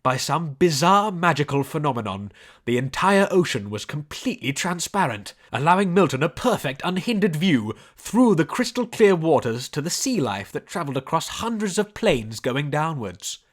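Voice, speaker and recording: A man reading scripted story narration in a measured storybook delivery. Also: fairly high pitch, very animated. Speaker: audiobook narrator.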